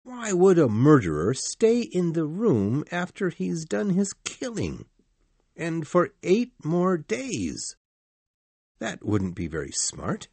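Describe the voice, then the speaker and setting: Lady doing a calm skeptical lawyer guy, slow and husky, questioning everything. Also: fairly low pitch, no room sound, full character voice. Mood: doubtful, probing. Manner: measured, questioning. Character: calm, doubting middle-aged male lawyer. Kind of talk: storybook reading.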